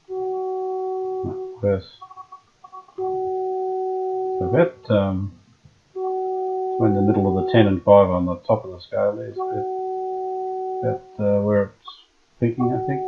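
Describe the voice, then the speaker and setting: Low-voiced man tinkering. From Australia. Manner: relaxed, thinking aloud with frequent fillers. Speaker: radio restorer. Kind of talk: hands-on workshop narration. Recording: dull lo-fi workshop audio.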